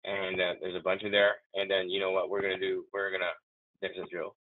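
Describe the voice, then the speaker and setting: An eager man talks through a software demo in a low voice, excited about what he shows and often stumbling into restarts. The sound is thin and phone-like, with a dry, close feel. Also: a little fast, no echo. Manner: enthusiastic, chatty, many restarts. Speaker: software demonstrator. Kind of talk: live software demo walkthrough. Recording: tinny phone-like, dry audio.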